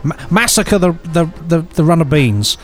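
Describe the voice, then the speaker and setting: A man's voice: a little high, very animated, normal speed. A man co-hosting a podcast in casual, whimsical and playful chat. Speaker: podcast co-host.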